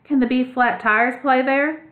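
A woman's voice: sits high, fairly even intonation, slow, roomy sound, pitched above the performer's own voice. A woman voicing a cool, laid-back female city mouse who teases and talks in slang. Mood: teasing. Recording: tinny phone-like sound.

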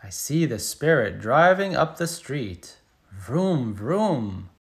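Storybook role: excited little schoolgirl, played by a man, expressive and animated, unhurried delivery. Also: mid-range voice. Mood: playful, emphatic. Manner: expressive, emphatic sound-effect words. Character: excited little schoolgirl telling her first day. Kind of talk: picture book read-aloud.

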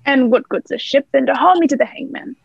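A woman's voice: high voice, brisk, gravelly, slightly rough, no room sound, lo-fi sound, full character voice. A young woman playing a sly, commanding male pirate in a radio play, speaking in salty seafaring dialect. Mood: wheedling. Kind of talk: stage-style radio play acting.

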